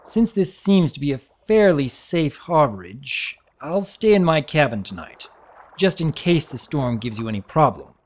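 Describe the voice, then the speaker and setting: Man voicing a bewildered young-sounding pony baron, swooping pitch. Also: very animated, moderate pace. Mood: polite, earnest. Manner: hesitant, puzzled, courteous. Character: male pony baron, polite, earnest, easily bewildered.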